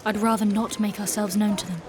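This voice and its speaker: An actress playing a proud, guarded female knight in a dark fantasy audio drama, with formal, commanding, measured diction. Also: mid-range voice, little pitch movement, a crowd in the background, natural voice. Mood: wary.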